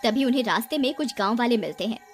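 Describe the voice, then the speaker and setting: Woman narrator, high voice, scripted horror storytelling, music underneath. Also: animated, quick pace, husky.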